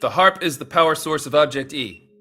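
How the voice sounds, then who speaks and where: A man playing an eager, boyish, excitable guard in an audio drama, informal and keen to please. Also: fairly high pitch, fairly quick, faint music behind.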